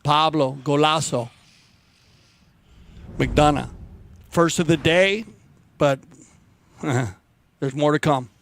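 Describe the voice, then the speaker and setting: A man's voice: a little high, very slow, pauses often, a little hiss or hum. A man co-commentating live on a polo match in an exclamatory way.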